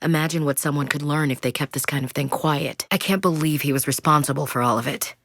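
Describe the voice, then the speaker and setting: A woman's voice: low-pitched, fast, a bit bright, no echo, clean studio sound. A woman playing a tough, candid young space marine in a sci-fi video game, with an American accent and a blunt, wry military directness. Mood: earnest, steady. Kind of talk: cinematic sci-fi game dialogue.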